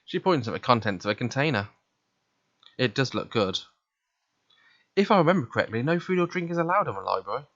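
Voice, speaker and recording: A man's voice: mid-range voice, sing-song, brisk, long pauses, fairly bright tone, no room sound, lo-fi sound. A man reading a visual novel aloud, narrating in the first person and voicing its dialogue.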